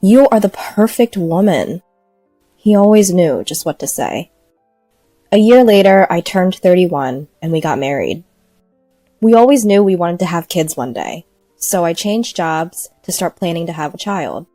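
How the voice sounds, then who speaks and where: Woman narrating a story, soft-edged mellow tone, very dry recording. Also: lowish voice, lively intonation, moderate pace, no echo, faint music behind. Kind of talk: first-person scripted narration.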